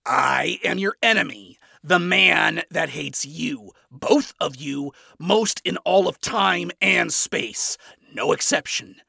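A man's voice: a little high, lively intonation, measured pace, gravelly, pushed and bright, husky, no echo, pitched above the performer's own voice, heavily acted. A man voicing a brash, foul-mouthed, scornful man with a blunt, sarcastic way of talking in a story. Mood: angry, accusing.

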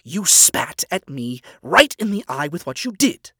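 A stern demon owl father, put on by a man reading a fan story, pitched well above the reader's own voice. He scolds and accuses in hard, pointed phrases that climb toward shouting. The sound is close and dry, with no room around it. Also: big pitch swings, measured pace, dry recording, full character voice. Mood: cold blunt.

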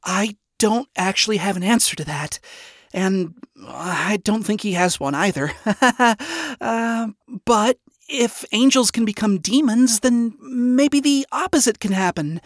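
A woman's voice: fairly high pitch, expressive, moderate pace, hardly any pauses, no room sound, clean studio sound, pitched above the performer's own voice. A woman voicing a chipper, earnest young princess in a story, hesitant and straining to sound cheerful. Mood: nervous, unsure. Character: hopelessly chipper young princess, earnest, nervously enthusiastic leader.

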